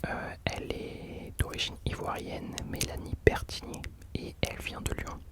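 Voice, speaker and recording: A man whispering in a breathy, unvoiced voice as an ASMR host, chatting casually about music in a hesitant, self-correcting way. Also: unhurried.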